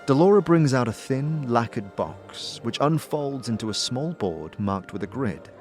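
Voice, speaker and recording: A man's voice: medium pitch, lively intonation, unhurried, darkish tone, faint music behind. A man narrating an audio drama and speaking as its lead, with hesitant "uh"s in his lines. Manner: hesitant, with filler "uh"s in dialogue.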